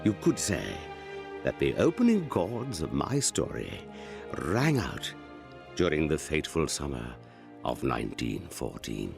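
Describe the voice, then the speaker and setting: Posh old english memoir writer, super deep n croaky, full of himself, music behind. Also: male voice, very animated, measured pace, full character voice. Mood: grandiose reminiscing. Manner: pompous, wordy, self-regarding. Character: elderly vain aristocratic English diarist narrating his memoirs. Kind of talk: radio comedy narration. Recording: narration over music.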